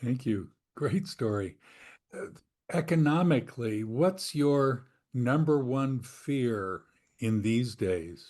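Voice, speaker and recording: A man's voice: mid-range voice, lively intonation, slow pace, a little mellow. A man hosting a podcast interview, asking questions in an easygoing way with light chuckles. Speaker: podcast host.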